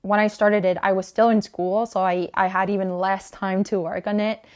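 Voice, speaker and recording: Clear, smooth-voiced young woman from Honduras. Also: mid-range voice, flat delivery, normal speed, darkish tone. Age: 20s. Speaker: small clothing business owner, interviewee. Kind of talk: casual interview monologue about own work.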